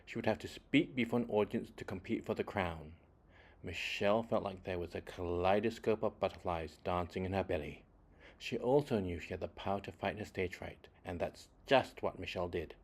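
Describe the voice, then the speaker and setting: Male children's storyteller with a fairly low voice, reading expressively with deliberate phrasing and varied, dramatic emphasis. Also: breathy edge. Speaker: children's book reader.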